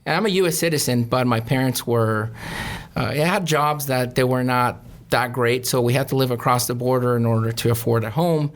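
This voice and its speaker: A middle-aged man, a podcast guest telling his own story in a plain, unhurried, earnest way. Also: mid-range voice. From Mexicali, Mexico and Imperial County. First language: Spanish. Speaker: podcast guest, nurse practitioner. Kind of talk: casual interview talk.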